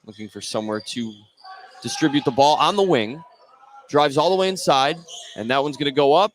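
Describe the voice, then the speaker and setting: A young man calling basketball play-by-play for a radio stream, brisk and rising with each shot. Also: mid-range voice, a little hiss or hum. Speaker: play-by-play announcer.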